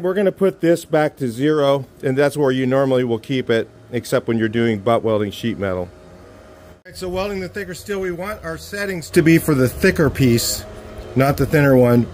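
Middle-aged man, relaxed plainspoken welding tips, mid-pitched voice, outdoor camera mic, faint background hum. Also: normal speed. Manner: relaxed, plainspoken, talks while working. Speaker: garage welding instructor. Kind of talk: casual hands-on demonstration. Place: outdoor driveway workshop.

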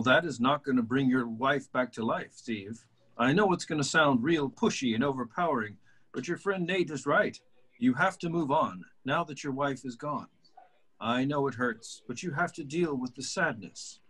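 A man reading the part of a sympathetic, slightly pushy therapist in a sitcom table read, with a husky voice. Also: medium pitch, expressive, moderate pace, a bit bright. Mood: firm, sympathetic. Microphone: video call.

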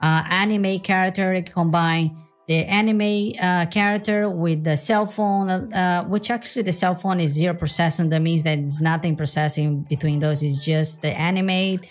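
A man speaking through a pitch-raising voice changer as a baby or anime character, casually explaining software. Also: steady tone, moderate pace, faint music behind. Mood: childlike, explaining.

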